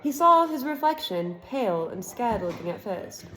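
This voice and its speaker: Expressive woman reading a storybook aloud. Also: a little high, normal speed, poor audio. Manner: expressive narration, relaxed asides. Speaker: storybook reader.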